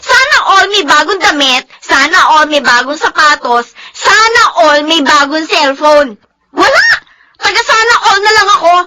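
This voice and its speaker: An actress playing an envious, sulky youngest daughter in a Filipino radio drama, with a whining, sarcastic, theatrical delivery. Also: female voice, high voice, lively intonation, normal speed, noisy background. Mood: bitter, wailing.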